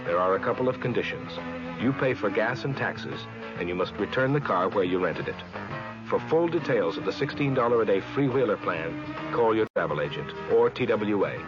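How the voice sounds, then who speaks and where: Old radio commercial announcer, man, lowish voice, muffled, music loud behind. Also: lively intonation, brisk. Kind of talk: scripted 1970s radio advertisement.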